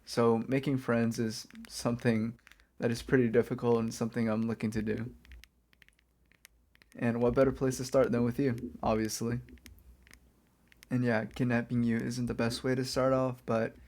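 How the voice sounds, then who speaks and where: A man playing a lonely reformed supervillain kidnapper in an ASMR roleplay, speaking softly and casually to the listener in a hesitant, coaxing way. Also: medium pitch, level tone, moderate pace, long pauses, natural voice. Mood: earnest, confiding. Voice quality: soft-spoken. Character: reformed male supervillain kidnapper, lonely, apologetic, gently friendly. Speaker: ASMR audio performer. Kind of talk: soft-spoken ASMR roleplay, addressing the listener.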